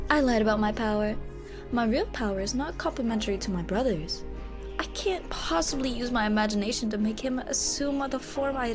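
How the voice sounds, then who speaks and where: Proud, stern female illusionist voiced by an actress, fantasy audio drama, higher voice, formal theatrical delivery, loud music underneath. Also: lightly acted. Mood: smug, explaining. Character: Zaya, proud illusionist woman, formerly bloodthirsty, stern but courteous.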